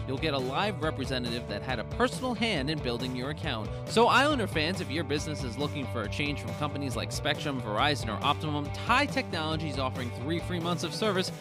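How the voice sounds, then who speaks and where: A man reading a scripted commercial as a polished sales announcer. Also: fairly high pitch. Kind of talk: scripted advertisement narration. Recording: ad voice-over with loud music bed. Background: loud music with guitar.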